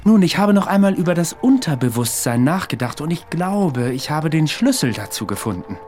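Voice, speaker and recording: Eccentric self-made analyst, radio drama: confident, eloquent, needling, with widely swinging intonation. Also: male voice, a little high, very animated, moderate pace, a little mellow. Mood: musing, self-assured. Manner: eloquent, probing, emphatic. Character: confident, eccentric amateur psychoanalyst, provocative and insistent. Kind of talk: German radio play acting.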